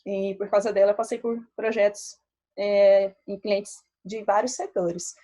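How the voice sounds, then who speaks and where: Chatty Brazilian woman with a mellow voice. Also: mid-range voice, unhurried. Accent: Brazilian Portuguese, mixed Minas Gerais and Rio. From Belo Horizonte, Brazil. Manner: relaxed, chatty, many fillers, laughs often. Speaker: statistician presenting to a meetup. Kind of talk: casual talk.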